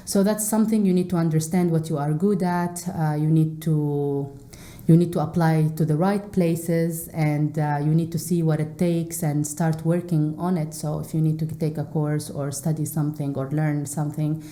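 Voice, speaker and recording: Low, mellow-voiced woman with echo. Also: steady tone, normal speed. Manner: hesitant, many fillers and restarts. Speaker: interview guest, English teacher. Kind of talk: casual interview answers. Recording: echoey room.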